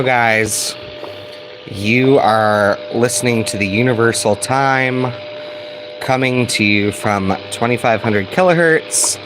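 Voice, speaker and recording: An American man with a lowish voice talks slowly and casually, like a hobbyist hosting his own video. He is easygoing and informal, recorded on a phone with clear static noise around him. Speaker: shortwave radio hobbyist and video host. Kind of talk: casual vlog commentary. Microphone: cell phone.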